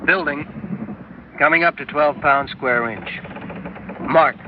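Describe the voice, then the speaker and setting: An actor playing a young, dutiful male co-pilot in an old radio drama, steady and obedient. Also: fairly high pitch, takes it slowly. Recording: tinny, narrow old radio sound with hiss.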